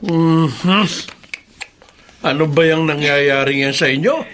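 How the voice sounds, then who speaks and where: Folksy older Filipino father, highish voice. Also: slow, fairly bright tone, slight background noise. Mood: concerned, homely. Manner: folksy, concerned, hesitant with interjections. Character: concerned older father, homely tricycle driver. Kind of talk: naturalistic Filipino radio drama acting.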